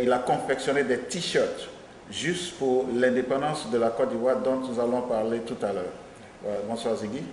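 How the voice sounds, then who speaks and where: A middle-aged man hosting a studio interview, asking measured questions and repeating himself to be clear. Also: medium pitch. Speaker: host. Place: small studio with logo backdrop. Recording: echoey studio room, faint noise.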